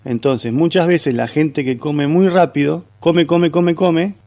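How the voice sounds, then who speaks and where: A man's voice: on the high side, unhurried, warm tone. A man with an Argentine accent, giving explanations as a guest in a conversational radio interview. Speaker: food segment guest giving cooking advice.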